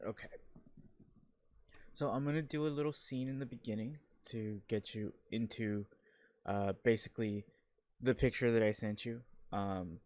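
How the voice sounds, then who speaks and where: A man's voice: lowish voice, steady tone, moderate pace, a little mellow, no room sound. A man hosting a casual interview, chatting loosely with many fillers and restarts. He also narrates a playful intro scene. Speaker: talk show host. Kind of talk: casual online interview with a role-played intro.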